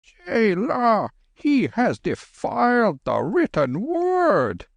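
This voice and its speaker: A man voicing a gentle, serene old Tibetan holy man who speaks slowly and solemnly. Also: extremely high pitch, huge pitch swings, creaky, no room sound, voice pushed up, full character voice. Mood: grieved. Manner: slow, mild, solemn.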